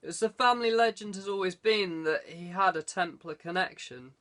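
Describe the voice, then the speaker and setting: A man's voice: high-pitched, moderate pace, sharp tone, voice pushed up. A man voicing a fussy, protective castle guide who explains things cautiously in an audiobook adventure. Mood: explanatory.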